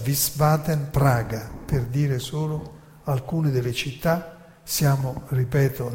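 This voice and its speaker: Mellow man narrating in a reverberant hall. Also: medium pitch. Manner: deliberate, emphatic, with dramatic pauses. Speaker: narrator and lecturer. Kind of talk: theatrical lecture narration, reading quotations.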